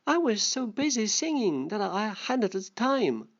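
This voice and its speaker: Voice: mid-range voice, sing-song, a little slow, pitched above the performer's own voice. A narrator voicing a hungry female grasshopper begging in a children's storybook. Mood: explaining. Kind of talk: storybook dialogue read for children. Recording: dull, muffled lo-fi sound.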